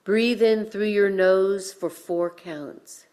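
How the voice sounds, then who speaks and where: A woman minister delivering a sermon with measured, deliberate phrasing and pauses, guiding listeners in a reflective way. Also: mid-range voice, darkish tone, clean tone. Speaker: minister leading a worship service. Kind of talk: sermon with guided breathing.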